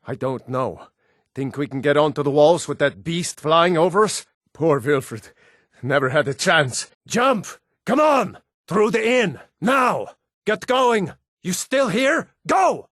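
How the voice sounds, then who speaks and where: A man's voice: high-pitched, sharp tone, dry recording, studio quality, full character voice. An actor playing a steadfast, plain-spoken Nord rebel who urges his comrades on in a fantasy video game. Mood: sober, then urgent, insistent. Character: rugged, steadfast Nord rebel man, wry and urgent. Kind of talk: fantasy game dialogue lines.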